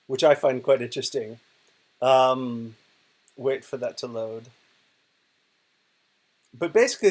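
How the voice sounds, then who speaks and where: A middle-aged American man from Oklahoma speaks from his bed while unwell. His talk is relaxed and chatty, with frequent fillers and self-corrections. He recorded it himself on video in a bedroom. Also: medium pitch. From Oklahoma, United States. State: ill, resting in bed. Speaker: digital poet and professor.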